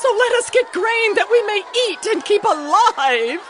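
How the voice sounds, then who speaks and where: Desperate hungry mother: very high, shouted pleading amid crowd, Bible audio drama. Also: expressive, unhurried, a little hiss or hum, exaggerated voice. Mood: urgent pleading.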